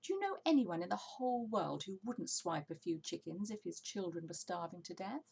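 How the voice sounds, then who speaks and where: A woman's voice: fairly low pitch, expressive, normal speed, vocal fry, darkish tone, slightly rough, heavily acted. A woman voicing a confident, bossy, jolly father fox in a children's storybook, exclaiming and giving orders. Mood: indignant, persuasive. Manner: exclamatory, commanding, persuasive. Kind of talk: children's storybook character reading.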